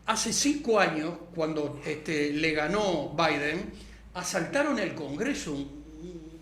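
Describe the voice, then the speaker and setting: A Uruguayan man with a distinctly high voice gives his political opinions as a guest in a discussion. He speaks emphatically and punctuates his points with little tag questions, in a roomy, echoing space. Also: lively intonation, slow pace, a bit bright. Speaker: interview guest, political activist.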